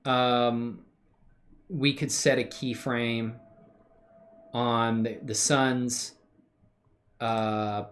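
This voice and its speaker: A woman's voice: rumbling low, a little hiss or hum. A woman hosting a casual live animation tutorial, thinking aloud and chatting in an upbeat way with viewers she calls man and bro.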